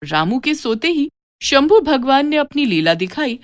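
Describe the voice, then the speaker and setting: Lively woman storyteller, dry sound. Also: sits high, measured pace, no room sound. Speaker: storyteller, narrator. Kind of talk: scripted children's moral story narration.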